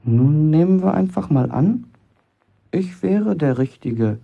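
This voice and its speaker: An actor playing a cheeky, boastful young bricklayer in a German radio play, talking colloquially and defiantly. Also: male voice, a little high, animated, slow pace, vocal fry, breathy edge, phone-quality sound, full character voice. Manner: colloquial, provocative, self-justifying.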